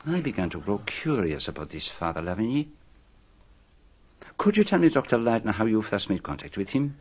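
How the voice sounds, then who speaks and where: Low-voiced, deliberate theatrical detective. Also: male voice, big pitch swings, husky. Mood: measured, probing. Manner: deliberate, formal, dramatic emphasis. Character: famous male detective, methodical, self-assured, theatrical in revealing a murderer. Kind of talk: radio drama monologue.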